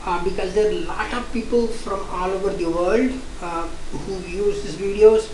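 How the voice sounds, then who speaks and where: Indian lady teaching a software class, talks fast and repeats herself, kinda roomy echo on the mic. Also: mid-range voice, fairly even intonation, a little hiss or hum. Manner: repetitive, restarts often, fast-paced explaining. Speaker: software testing instructor. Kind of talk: online course lecture. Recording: echoey room.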